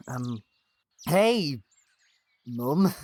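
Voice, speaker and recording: A man playing a shy, nervous young man in an audio drama, talking haltingly and unsurely. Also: fairly high pitch, animated, creaky, darkish tone, exaggerated voice. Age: young adult. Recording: noticeable background noise with birdsong.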